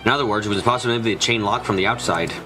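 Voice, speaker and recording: A man streaming a visual novel, reading aloud and chatting in an animated, rambling way. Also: fairly low pitch, racing. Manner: animated, rambling, swears freely. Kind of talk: live game stream, casual chat and dramatic reading. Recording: music behind the voice. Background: game music.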